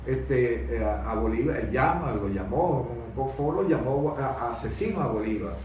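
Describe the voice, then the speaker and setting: Hesitant male debater, mid-pitched, repeating words, distant from the mic in a very echoey space. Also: animated, darkish tone. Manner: halting, repeats words while searching for phrasing. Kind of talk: conversational political-historical debate. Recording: very echoey room, distant mic, faint noise.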